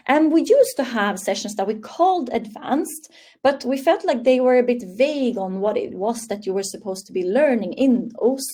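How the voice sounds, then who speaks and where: A woman's voice: mid-range voice, warm tone. A young woman from Sweden with a Swedish accent, English her second language, presenting a live online training webinar in a friendly, upbeat way over a webcam call. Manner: friendly, upbeat, reading out audience answers. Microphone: webcam video call.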